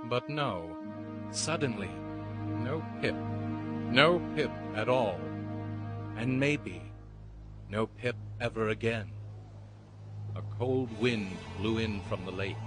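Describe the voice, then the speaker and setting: A man's voice: on the low side, takes it slowly, long pauses. A man narrating a dramatized audiobook as a scripted, theatrical story. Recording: music behind the narration.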